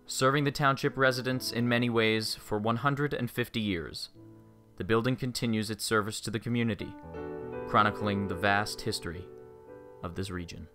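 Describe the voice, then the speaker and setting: A man's voice: fairly low pitch, fairly even intonation, moderate pace. A man reading scripted narration as a documentary narrator. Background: background music with plucked guitar.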